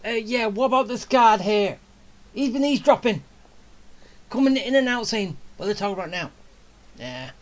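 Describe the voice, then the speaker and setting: A woman giving casual gameplay commentary as a streamer, halting and thinking aloud. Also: a little high, animated. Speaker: game streamer.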